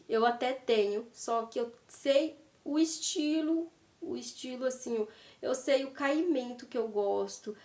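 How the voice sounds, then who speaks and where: A woman's voice: on the high side, darkish tone. A Brazilian woman vlogging casually about fashion, a bit congested from a cold, chatty and tagging her sentences for viewers, at home by her wardrobe. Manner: chatty, tags sentences with "né?" and addresses viewers as "gente". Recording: roomy, distant from the mic.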